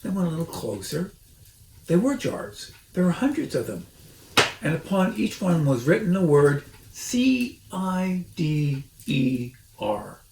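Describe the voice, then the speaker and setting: Animated man reading a storybook aloud. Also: a little high, a little slow, vocal fry. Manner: theatrical, chatty. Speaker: storybook reader and host. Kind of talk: expressive read-aloud storytelling with casual asides. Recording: roomy echo, faint noise.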